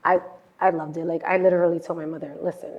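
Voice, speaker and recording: A woman hosting a casual interview, relaxed and quick to answer with "yeah" and "mm-hmm". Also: fairly low pitch, dull audio. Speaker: podcast host.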